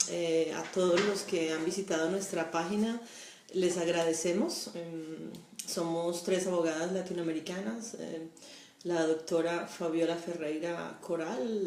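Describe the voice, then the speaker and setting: A woman's voice: fairly low pitch, darkish tone, slight background noise. A Colombian-born woman working as an immigration lawyer, answering viewer questions in a live stream, with frequent hesitations. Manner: hesitant, many fillers, explanatory. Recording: echoey room.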